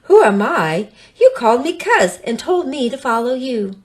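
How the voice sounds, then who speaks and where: Woman voicing a high, indignant little skink. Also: sing-song, normal speed. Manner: exclaiming, protesting. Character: small earnest male skink, shy yet indignant.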